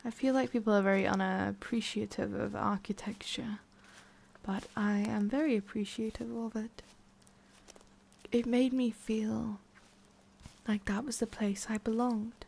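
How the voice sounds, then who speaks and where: A woman voicing a haughty, prickly witch with a hidden soft side in an ASMR roleplay, soft and often whispery with a creaky edge. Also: mid-range voice, fairly even intonation, measured pace. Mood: wistful, nostalgic. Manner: soft, often whispery, breathy sighs, curt asides.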